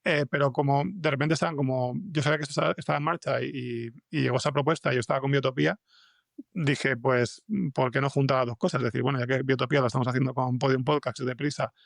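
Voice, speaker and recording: Creaky-voiced man from a Spanish podcast interview. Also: mid-range voice, fairly even intonation, quick pace, dry recording, clean studio sound. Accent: Spain Spanish. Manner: hesitant, rambling, stretching fillers and repeating words. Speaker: guest, fiction podcast scriptwriter and comics artist. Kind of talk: casual interview conversation.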